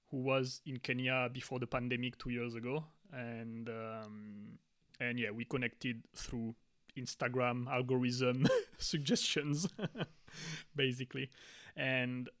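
A man co-hosting a podcast, asking casual questions in a chatty way with soft laughs and hesitant fillers. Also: mid-range voice. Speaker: podcast co-host.

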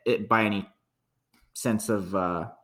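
A man's voice: on the low side, steady tone, slow pace, fairly bright tone. A man from Canada chatting casually about football, frank and rambling, swearing freely. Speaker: podcast co-host. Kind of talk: casual sports chat.

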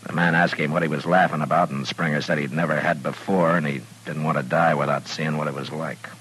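A man acting a steady, dry frontier lawman with an American accent in an old radio western, speaking tersely on a noisy vintage recording. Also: rumbling low, fairly quick. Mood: stern, calm. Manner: terse, deadpan, laconic.

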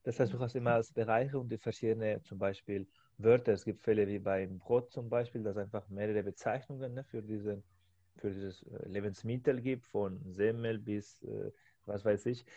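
Low-voiced man hosting, halting. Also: fairly even intonation, warm tone, no room sound, lo-fi sound. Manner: halting, searching for words with many fillers. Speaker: podcast host. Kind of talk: casual interview.

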